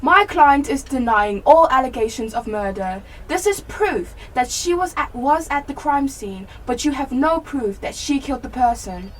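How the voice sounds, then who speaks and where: A teenage woman playing a brisk, assertive defence lawyer in an amateur radio play. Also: high-pitched, lively intonation. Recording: distant mic, light background noise.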